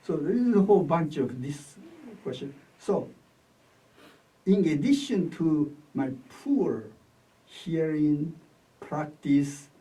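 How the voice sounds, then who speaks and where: An older man from Taiwan with a Taiwanese accent, recalling his life in an oral history interview in halting short phrases. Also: a little high, expressive, mellow. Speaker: interviewee, retired academic. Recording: distant microphone.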